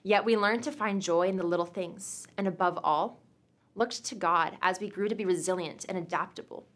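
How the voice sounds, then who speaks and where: A young woman, delivering a prepared commencement speech as a graduating student in a measured, reflective way. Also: lowish voice. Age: young adult.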